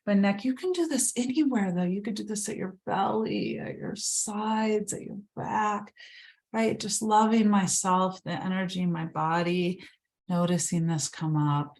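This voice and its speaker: A woman leading a guided meditation, speaking slowly and soothingly, sometimes dropping to a whisper. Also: mid-range voice, darkish tone. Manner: slow, soothing, drifts into whispers.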